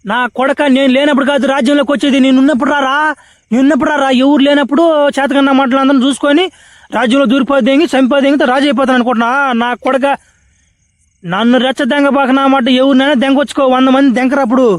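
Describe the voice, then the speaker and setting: Squeaky, fast cartoon warrior. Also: male voice, steady tone, dry recording. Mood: furious. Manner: blustering, repetitive slangy cursing. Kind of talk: comic cartoon parody voice-over.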